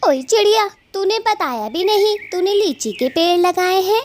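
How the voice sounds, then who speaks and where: A woman voicing a bossy, quick-tempered female bird who scolds and taunts in a children's cartoon story. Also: very high voice, animated, slight background noise, voice pushed up. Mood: threatening, angry.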